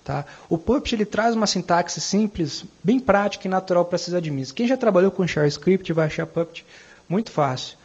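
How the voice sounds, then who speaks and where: Man, Brazilian Portuguese accent, conference speaker, conversational, raspy voice, muffled lo-fi sound. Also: high voice, animated, normal speed. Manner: conversational, frequent "tá?" tag questions. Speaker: technical speaker. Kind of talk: conference tech talk. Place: conference room. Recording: dull lo-fi conference recording.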